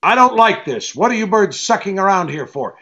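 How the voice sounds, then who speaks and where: An actor playing a wary, hard-boiled private detective, curt and sardonic, in 1940s radio drama style. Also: male voice, high-pitched, big pitch swings, brisk, pushed and bright, highs cut off, heavily acted. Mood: irritated, defiant.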